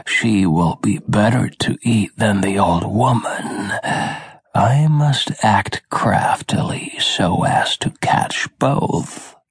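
Man voicing a slow, whispery, crafty wolf. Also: on the low side, dry recording, full character voice. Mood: greedy, scheming. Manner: slow, breathy, whispering coaxing. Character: wicked, crafty, greedy male wolf, coaxing and sly.

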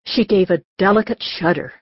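A woman's voice: mid-range voice, moderate pace, slightly rough, no room sound, muffled recording. A woman narrating a scripted children's audiobook.

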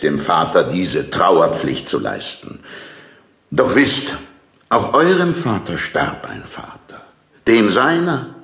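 An actor playing a pompous middle-aged king in a classical audio drama, declaiming smoothly and deliberately. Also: low-pitched, a bit bright, echoey room, exaggerated voice.

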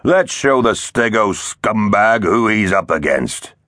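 A man voicing a hulking woolly mammoth builder, a menacing schemer with a gruff, rumbling snarl in a children's storybook. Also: sing-song, slow, bright, forceful tone, no room sound, clean studio sound, full character voice. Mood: snarling menace.

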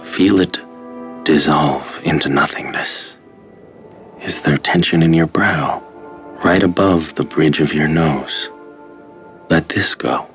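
An extremely deep-voiced hypnotist guides the listener through a tape in an audio drama. He is calm and controlling, with slow, deliberate, soothing phrasing. The sound is dull and lo-fi like a cassette, with music underneath. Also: full character voice. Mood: soothing, hypnotic. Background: faint music.

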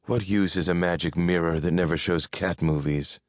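A man's voice: sits low, fairly even intonation, moderate pace, no echo, close to an everyday voice. A feline female creature from a fantasy storybook, voiced by a man. She is blunt and selfish, speaking with bored disdain. The sound is dry and close. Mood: disdainful.

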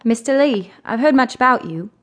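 An earnest young lady medium in a period radio play. Her voice sits a little high, polite and formal. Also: expressive, moderate pace, a bit bright, slight background noise. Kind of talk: radio drama acting.

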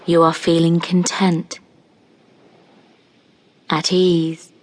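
Woman, meditation narrator, fairly low pitch, very slow pace, calm, soothing, long pauses. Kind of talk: scripted guided meditation, hypnotic relaxation narration.

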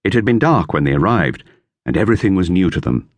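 Deep man narrating a children's storybook. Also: very animated, fast, no room sound. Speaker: audiobook narrator. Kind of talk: scripted children's novel narration, expressive storytelling.

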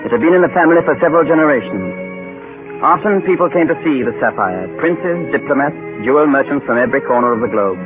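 A man's voice: mid-range voice, expressive, music in the background, lightly acted. A man playing a grave Englishman who solemnly recounts his childhood as narrator of a 1930s radio drama. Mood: reminiscent. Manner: measured, solemn storytelling. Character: grave adult Englishman, sole surviving brother, recalling his childhood. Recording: tinny 1930s radio broadcast.

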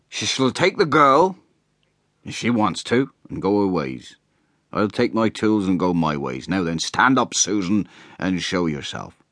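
A man's voice: lowish voice, no echo, studio quality, pitched above the performer's own voice. A man voicing a drunken, brash rustic hay trusser who blusters and boasts. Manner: blustering and boastful, shouting at times.

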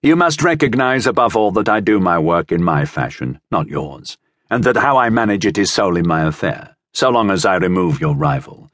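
A man's voice: fairly low pitch, very animated, normal speed, a bit bright, dry recording, clean studio sound. A man voicing a proud, blunt sellsword of minor nobility in an audiobook adventure. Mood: proud scorn. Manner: blunt, scornful, firm.

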